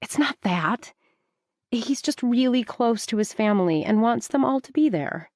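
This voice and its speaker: A woman reading the part of an earnest young woman who stubbornly defends her choices, her voice lifted a little higher. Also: expressive, measured pace, a little mellow, dry recording, studio quality. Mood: defensive.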